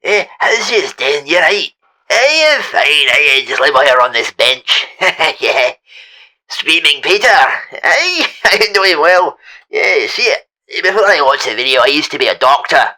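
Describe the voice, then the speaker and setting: A man playing a rambling washed-up ex-doctor in a comic mock interview, halting and repeating himself. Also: a little high, sing-song, sharp tone, voice pushed up, exaggerated voice, low-quality recording. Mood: resigned, cheerful. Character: rambling washed-up ex-doctor living on a park bench. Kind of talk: mock documentary interview, comic improvised monologue.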